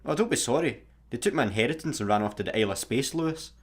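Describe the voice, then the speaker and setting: A man's voice: medium pitch, lively intonation, fast, slightly rough. A man playing a grumpy, exasperated space satellite worker in a sci-fi comedy radio play, with a sarcastic, grumbling manner. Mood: glum.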